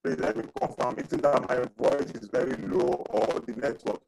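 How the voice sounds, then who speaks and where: Male lecturer, 30s, online class, halting and repetitive, dry close sound. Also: mid-range voice, creaky, a little mellow, no echo, rough recording. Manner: repetitive, halting, asks for questions. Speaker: accounting lecturer. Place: small indoor room. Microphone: webcam video call with earphones.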